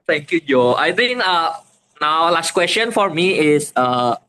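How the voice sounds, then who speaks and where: Man, higher voice, bright and tense, halting questions, video call, faint noise. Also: lively intonation, poor audio. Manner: halting, searches for words. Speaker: student interviewer. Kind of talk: casual interview chat.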